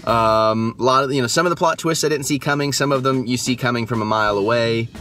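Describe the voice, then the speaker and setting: A young man talks through his thoughts on a film he has just seen, in a casual and hesitant way. He rambles, fills his pauses with ums and you-knows, and restarts his sentences often. Soft music plays faintly underneath. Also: medium pitch. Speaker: movie reviewer, channel host. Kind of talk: casual post-movie review chat. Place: just back from the theater, at home.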